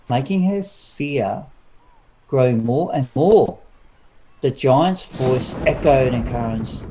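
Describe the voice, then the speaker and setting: A man's voice: medium pitch, dark tone, low-quality recording. A man narrating a fairy-tale audiobook in a dramatic, scripted storytelling style. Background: music.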